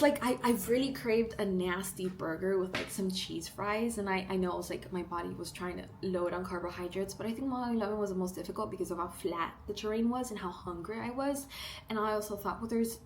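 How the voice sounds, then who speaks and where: A woman's voice: mid-range voice, slight background noise. A woman of twenty-two with a South Texas accent, chatting casually and animatedly while doing her makeup at home. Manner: chatty, upbeat, animated asides and playful quoted voices. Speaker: beauty vlogger. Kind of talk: casual get-ready-with-me chat.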